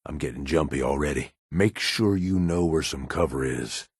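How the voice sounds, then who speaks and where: An actor voicing a gruff, cynical middle-aged American raider lieutenant in a video game, blunt and profane with a folksy, slangy drawl. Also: male voice, very deep voice, moderate pace, no room sound, studio quality, heavily acted. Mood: wary, matter-of-fact. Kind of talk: video game companion dialogue.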